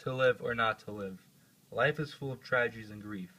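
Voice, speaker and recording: A man's voice: medium pitch, normal speed, pushed and bright, poor audio. A man playing a grieving, bitter young prince in an amateur puppet show. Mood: brooding.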